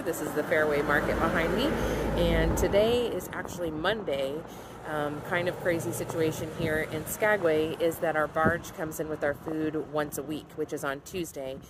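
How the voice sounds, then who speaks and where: Woman, 40s, low-pitched vlogger, casual chatty walkthrough, phone mic, loud background noise. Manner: chatty, explaining with frequent ums. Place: outdoors in a parking lot, then inside a grocery store. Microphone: phone held at arm's length.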